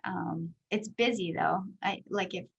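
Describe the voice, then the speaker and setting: A woman in her thirties from Canada with a high voice, answering interview questions as a guest speaker in a candid, chatty way full of fillers. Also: measured pace, gravelly, breathy edge, highs cut off. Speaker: guest speaker, company executive.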